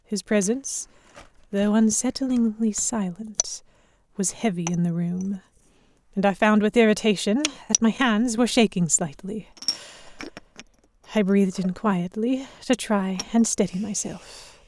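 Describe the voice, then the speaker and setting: A young, observant heroine played by a woman tells a pirate tale in the first person. Her voice is mellow and medium in pitch. The delivery is measured, literary scripted narration for an audio drama. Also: slight background noise, lightly acted. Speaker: narrator and lead actor.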